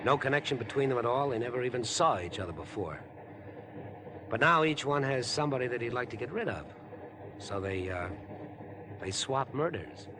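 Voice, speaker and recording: Man acting insinuating, animated murder schemer. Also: mid-range voice, moderate pace, long pauses, lightly acted. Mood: eager, scheming. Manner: insinuating, overfriendly, wheedling. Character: chatty, ingratiating, obsessive murder-plotting man on a train. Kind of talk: 1950s radio drama acting. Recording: vintage 1950s radio broadcast.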